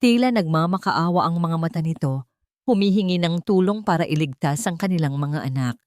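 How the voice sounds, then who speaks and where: A woman's voice: lowish voice, animated, brisk, darkish tone, no room sound, clean studio sound. A woman narrator reading a scripted dramatic story.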